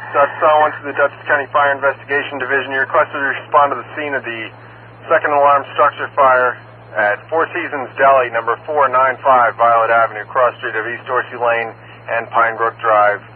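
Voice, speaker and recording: A man's voice: medium pitch, steady tone, a little fast. Narrowband radio, formal male fire dispatcher. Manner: procedural, repeats addresses and numbers in set phrases. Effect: two-way radio transmission. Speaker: county emergency fire dispatcher. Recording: narrow, noisy scanner radio with hum.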